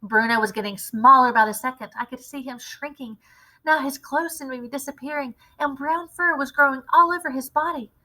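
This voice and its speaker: A woman reading a children's story aloud as an expressive storyteller. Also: high-pitched, fairly quick, low-quality recording. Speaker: read-aloud storyteller, teacher.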